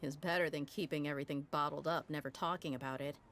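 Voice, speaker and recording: A woman's voice: sits low, fairly even intonation, quick pace, crowd noise. A woman voicing a brash, outspoken woman mercenary captain in a video game. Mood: frank. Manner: blunt, outspoken.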